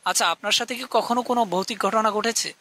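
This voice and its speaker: A man's voice: high-pitched, fairly even intonation, racing, bright, forceful tone, no echo, exaggerated voice. A man voicing an eager young ghost-hunting TV reporter in a scripted cartoon. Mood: keen, formal. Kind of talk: scripted cartoon dialogue and show presenting.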